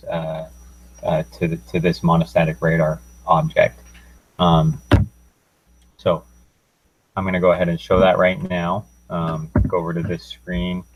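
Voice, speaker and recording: Very low-voiced man, presenting in flat tones. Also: slight background noise, poor audio. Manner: hesitant, informal, unscripted. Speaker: student presenting a class project. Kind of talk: technical presentation, walking through a demo.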